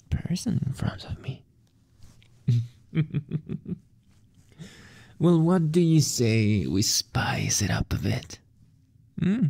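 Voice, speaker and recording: Slow, gravelly whispering young man. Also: mid-range voice, dry recording, studio quality. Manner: slow, teasing, breathy whispers with soft chuckles. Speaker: ASMR roleplay creator. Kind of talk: whispered ASMR roleplay as a teasing boyfriend. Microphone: close microphone.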